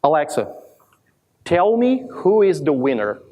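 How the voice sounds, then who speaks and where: A man from Brazil in his thirties with a strong Brazilian accent, giving a lively live demo talk at a conference, with many restarts and self-deprecating asides. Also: a little high, unhurried. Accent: Brazilian Portuguese. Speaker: conference speaker. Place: large conference hall with audience.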